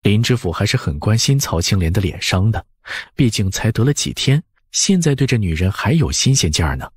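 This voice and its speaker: A man narrates an audiobook story expressively. His voice is low and husky with lively swings in pitch. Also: brisk, dry recording, clean studio sound.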